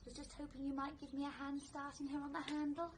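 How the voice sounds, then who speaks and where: A woman's voice: high voice, level tone, quick pace, natural voice. An actress playing a self-assured, forthright young upper-class English woman in a vintage radio drama. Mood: breezy. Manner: breezy, forthright. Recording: noisy vintage radio recording.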